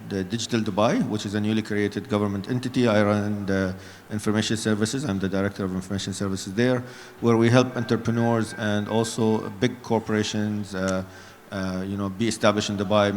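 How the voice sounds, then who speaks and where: A man introducing himself as a panelist at a conference, speaking in a halting, hesitant way. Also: lowish voice. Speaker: panelist, government technology director. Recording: echoey hall, faint ambient noise.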